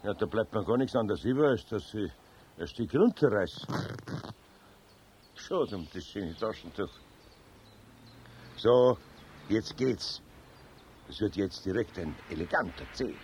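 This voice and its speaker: An actor playing a grumbling but caring old Bavarian man in a children's radio play, with a homely, expressive Bavarian manner of speech. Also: lowish voice, unhurried, long pauses. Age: older. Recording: noisy 1970s radio play recording.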